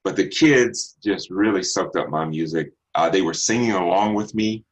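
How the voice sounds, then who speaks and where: A middle-aged man from Texas with a bass baritone voice, a singer answering interview questions in an unhurried, reflective way with many ums and restarts. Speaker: singer guest.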